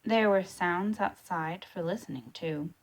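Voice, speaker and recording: A woman reading a children's storybook aloud in a deliberate, expressive way. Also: lowish voice. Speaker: storybook reader.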